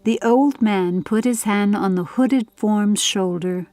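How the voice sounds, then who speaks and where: A woman narrating a scripted story for an audiobook in a measured, literary reading style. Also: mid-range voice, mellow, no room sound, faint music behind.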